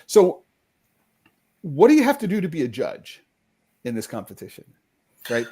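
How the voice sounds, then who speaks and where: A man's voice: fairly high pitch, huge pitch swings, normal speed, warm tone, husky. A man hosting a casual live-stream interview, talking conversationally with frequent ums and restarts. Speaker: host.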